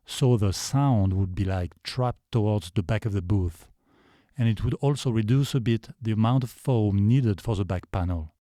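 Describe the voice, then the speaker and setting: Deep-voiced man, low and mellow, measured tutorial narration. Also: no room sound, clean studio sound. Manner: calm, measured explanation. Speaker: video creator. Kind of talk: scripted voice-over tutorial. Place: foam vocal booth.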